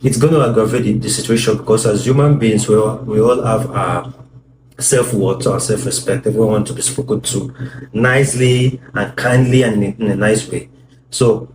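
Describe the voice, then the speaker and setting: A man talking earnestly with his wife about communication in marriage, often repeating words as he explains. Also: fairly low pitch. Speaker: co-host, husband. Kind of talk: casual talk on marriage advice. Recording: echoey room with background noise.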